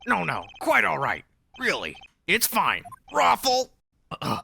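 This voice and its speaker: Gravelly, bright, smug nerdy TV director voiced by an amateur. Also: mid-range voice, huge pitch swings, slow, a little hiss or hum, heavily acted. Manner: drawling geek-speak, theatrical emphasis. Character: eccentric nerdy TV director, smug, slang-spouting, easily distracted. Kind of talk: amateur video game fandub.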